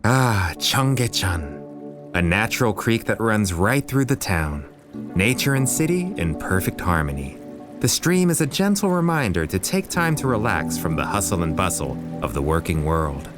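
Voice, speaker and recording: An actor playing a poetic, reflective male storyteller, narrating an audio drama in a measured, lyrical way. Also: lowish voice, big pitch swings. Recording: music under the voice.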